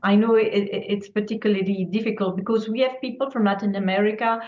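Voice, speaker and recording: A woman's voice: mid-range voice, fairly even intonation, fast. A woman moderating an online meeting, hesitant with many fillers as she hands the floor to speakers. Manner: hesitant, filler-heavy, handing over the floor. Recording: echoey, dull lo-fi audio.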